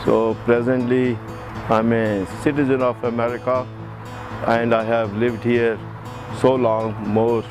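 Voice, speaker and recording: An older man from India, a chemical engineer answering interview questions in a measured, hesitant way. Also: medium pitch, flat delivery. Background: background music.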